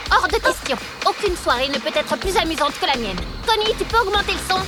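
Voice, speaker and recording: A woman playing a proud, bossy, sociable young girl in a cartoon, self-assured and exclamatory, over loud music. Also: very high voice, lively intonation, a little fast. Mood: bubbly, confident. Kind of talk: cartoon dubbing.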